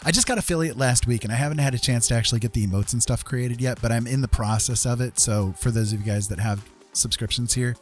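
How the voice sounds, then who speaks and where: A man casually chatting as a livestream host, earnest and encouraging, talking in a halting way with pauses. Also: medium pitch, soft background music. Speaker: livestream host and digital artist.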